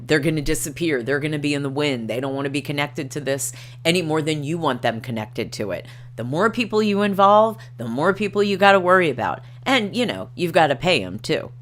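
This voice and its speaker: A middle-aged woman hosting a casual livestream, reading articles aloud and commenting in a chatty, digressive way with wry asides. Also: very deep voice. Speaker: livestream host.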